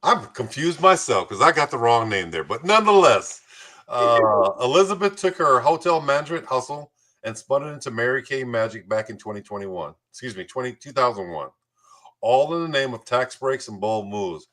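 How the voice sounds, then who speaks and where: Male host, forceful bright delivery, mid-pitched, playful between scripted guest introductions. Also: expressive, normal speed. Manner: jokey, playful. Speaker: talk show host. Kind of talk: hosting a community talk show, part scripted introductions, part banter.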